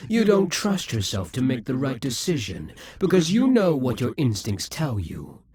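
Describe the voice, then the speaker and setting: A man's voice: medium pitch, huge pitch swings, moderate pace, a little mellow, husky, no echo. Brief: male actor as a scheming demon king for an audio roleplay, dry close sound, taunting and possessive. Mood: seductive menace. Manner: taunting, possessive, drops to whispers.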